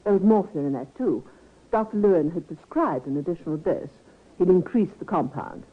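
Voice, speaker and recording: An actress playing a proper, guarded nurse with formal, precise speech, in old-time radio style on a tinny recording. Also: female voice, fairly low pitch, expressive, brisk, breathy edge. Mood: guarded, composed.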